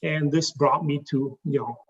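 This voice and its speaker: A man with an Italian accent presents to a room, his slightly high voice carrying a gravelly edge. He pauses often and restarts his phrases. He is picked up from a distance on a dull, lo-fi recording. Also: fairly even intonation. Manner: halting, many fillers and restarts. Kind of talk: pitch presentation to a live audience.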